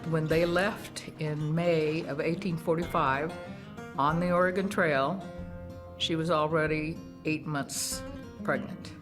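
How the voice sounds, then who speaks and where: A woman's voice: sits low, fairly even intonation, slow. A woman recounting history as a researcher in a documentary interview. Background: plucked guitar music.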